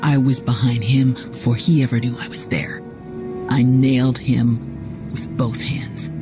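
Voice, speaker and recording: A woman's voice: rumbling low, little pitch movement, measured pace. A woman playing a tough, cocky female security chief in a game cutscene, blunt and wry in manner. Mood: somber, bitter. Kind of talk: video game cutscene acting. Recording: music under the voice.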